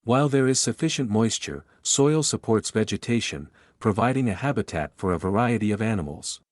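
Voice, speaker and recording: A man's voice: lowish voice, expressive, fairly quick, no echo, clean studio sound. A man reading an informational passage aloud as scripted narration. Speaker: reader of practice passages.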